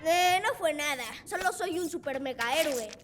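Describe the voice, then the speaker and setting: A woman's voice: high-pitched, expressive, moderate pace. A woman voicing a timid but proud young animal boy superhero in a children's cartoon dub, earnest and hesitant, with a Latin American Spanish accent. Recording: loud children's music behind.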